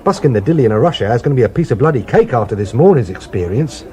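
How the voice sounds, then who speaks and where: An actor playing a nervy, wry English street busker in a British radio drama, grumbling in dry slang. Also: male voice, mid-range voice, sing-song, very fast, mellow, natural voice. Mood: rattled, grumbling.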